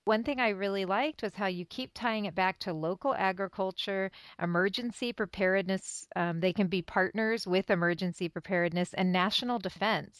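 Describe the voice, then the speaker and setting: A woman's voice: medium pitch, moderate pace, hardly any pauses, no room sound. A woman hosting an interview in a conversational style. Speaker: interviewer, host.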